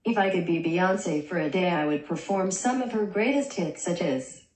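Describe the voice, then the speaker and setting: A synthetic woman's voice reading a young vlogger's lines in a deadpan way, heard in a small bedroom through the camera mic. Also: lowish voice, moderate pace, echoey room, poor audio. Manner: deadpan, dry jokes. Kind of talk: text-to-speech vlog narration.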